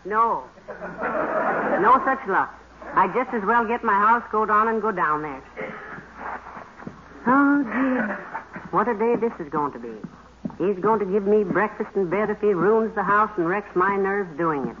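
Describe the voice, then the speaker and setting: A woman's voice: mid-range voice, animated, measured pace, long pauses, close to an everyday voice. A woman acting a weary, long-suffering housewife in 1950s radio comedy, dryly sarcastic with sighing asides. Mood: exasperated, wry. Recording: noisy muffled old radio recording.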